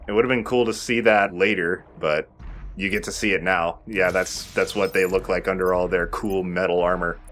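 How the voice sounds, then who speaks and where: Low-voiced man doing gaming commentary. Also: fairly even intonation, normal speed, a bit bright, a little hiss or hum. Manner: profane, joking banter. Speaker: let's play host playing the game. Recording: game music behind. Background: video game music.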